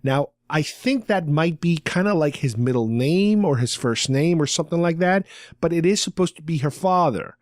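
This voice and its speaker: A man with a slightly high voice hosts a podcast, casually retelling a story. He explains things in a rambling, conversational way, and the sound is dry with no room around it. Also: lively intonation, normal speed, dry recording. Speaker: podcast host. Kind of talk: casual talk recapping a radio drama.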